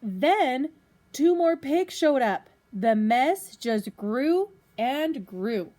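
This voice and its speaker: A woman reading a children's picture book aloud as a teacher does for pupils, pausing to put questions to young listeners. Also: sits high, sing-song, dark tone.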